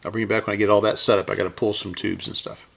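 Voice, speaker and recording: A man's voice: on the low side, flat delivery, very fast, creaky, fairly bright tone. A middle-aged man hosting a casual hands-on repair demonstration, talking to himself unscripted as he works. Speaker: host of a vintage electronics repair channel. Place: indoor electronics workbench.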